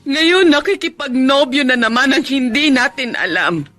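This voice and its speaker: High-voiced, scolding Filipino mother. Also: lively intonation, normal speed, a bit bright, faint music behind. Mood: hurt, fretful. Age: middle-aged. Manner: reproachful, fretful. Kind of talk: Filipino radio drama acting.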